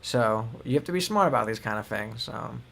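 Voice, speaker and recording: A man's voice: mid-range voice, lively intonation, fairly quick, gravelly, a bit bright. A young man co-hosting a news show, reading headlines and then giving loose, filler-heavy opinions. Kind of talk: casual news commentary.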